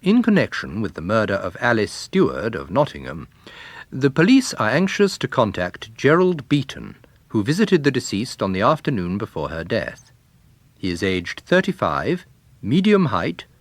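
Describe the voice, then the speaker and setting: A man voicing a formal, measured 1930s radio announcer within a story reading. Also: fairly low pitch, no echo. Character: formal 1930s male wireless announcer reading police message.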